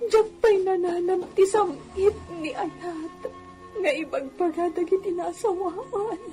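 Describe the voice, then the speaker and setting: An actress plays an aggrieved village wife in a radio drama. Her voice is very high and plaintive, wailing over faint music. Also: unhurried, mellow. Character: long-suffering, aggrieved village wife lamenting her marriage. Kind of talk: melodramatic Ilocano radio drama acting.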